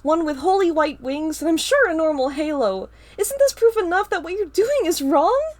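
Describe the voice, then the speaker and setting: A woman voicing a furious young female fire mage who shouts accusations in a shrill, raised voice. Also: expressive, moderate pace. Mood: disgusted, accusing.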